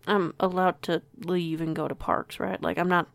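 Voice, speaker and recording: A woman's voice: on the low side, flat delivery, moderate pace, gravelly, no room sound. A woman playing a flustered, curious young newcomer in an audio roleplay, with a hesitant, rambling way of talking. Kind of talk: audio roleplay acting, casual dialogue.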